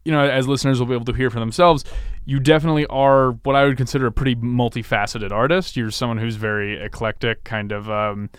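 A man's voice: mid-range voice, steady tone, quick pace, clear, resonant tone, no echo, studio quality. A man hosting a radio interview in a casual, wordy way, feeling his way through his phrasing. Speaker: radio show host.

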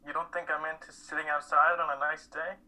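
A man's voice: on the high side, flat delivery, a little fast, bright, forceful tone, low-quality recording. A man acting a reserved, wry male college student in a dramatic reading. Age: young adult.

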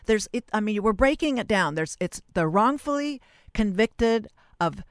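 A woman's voice: medium pitch, lively intonation, moderate pace, dry recording. Woman interviewer in a dry, close space. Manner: discursive, restarts and rephrases sentences mid-thought. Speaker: interview host. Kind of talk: conversational radio-style interviewing.

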